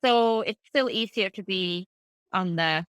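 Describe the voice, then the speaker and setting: A middle-aged woman from Finland whose first language is Swedish, a translator talking about her career as an interview guest, relaxed and thoughtful, with plenty of fillers and restarts. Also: medium pitch, unhurried, clear, resonant tone, no room sound. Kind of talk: casual conversational interview.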